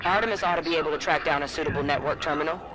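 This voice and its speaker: An actor playing a casual, alert soldier in a video game squad, with an informal, colloquial way of talking. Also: male voice, fairly high pitch, racing, noisy background, lightly acted. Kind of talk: video game cutscene acting.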